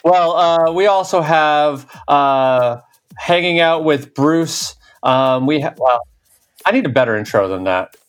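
A man's voice: a little high, slow pace, clean tone. Laid-back, laughing podcast host man. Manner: loose, laughing, playful. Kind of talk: casual chat.

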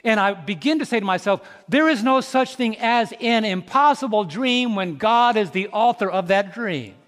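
A man in his fifties preaching a sermon, quizzing the congregation with questions and swinging from soft, slow reflection to loud, rising exhortation. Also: sits high, animated. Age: 50s. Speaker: pastor. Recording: long reverb in a large hall.

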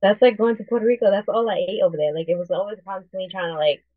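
A woman's voice: medium pitch, rapid-fire, dry recording. A woman co-hosting a podcast, telling casual anecdotes in a loose, informal way over a narrow, tinny connection. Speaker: podcast co-host. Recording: narrow, tinny phone-like line.

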